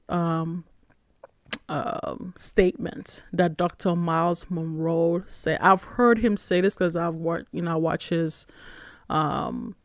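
A woman's voice: fairly low pitch, no echo. Woman podcast host, dry close sound. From born in New York, raised in Nigeria. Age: 40s. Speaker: podcast host, church spiritual formation director. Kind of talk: casual devotional podcast talk.

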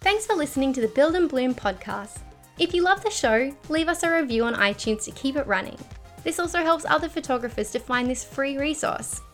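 A woman with a high voice reading a polished, upbeat podcast outro. Faint music plays behind her. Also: expressive, brisk. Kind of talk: scripted promo read.

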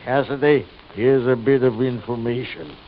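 An actor playing a gloating, crooked Old West jailer with a folksy American Western drawl, mock-polite and menacing, in radio western style. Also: male voice, mid-range voice, fairly even intonation, normal speed, close to an everyday voice. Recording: muffled, noisy old radio recording.

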